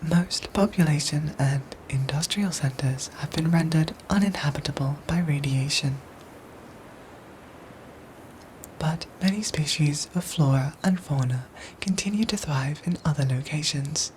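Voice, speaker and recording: A woman voicing a gentle, dutiful android soldier in an ASMR roleplay, with soft breathy whispers and formal, measured phrasing. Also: low voice, slight background noise, natural voice, low-quality recording. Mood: gentle, calm. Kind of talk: ASMR roleplay audio drama.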